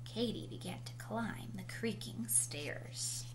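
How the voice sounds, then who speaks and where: A woman reading a children's picture book aloud with animated, dramatic emphasis and drawn-out words. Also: medium pitch, creaky, in a whisper. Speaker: storybook reader. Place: indoors, small room at home. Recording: noisy home video recording.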